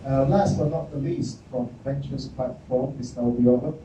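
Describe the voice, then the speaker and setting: A man moderating a panel, introducing speakers in a friendly, slightly halting way. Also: medium pitch, fairly even intonation, dark tone, highs cut off, slight background noise. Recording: echoey room, far from the mic.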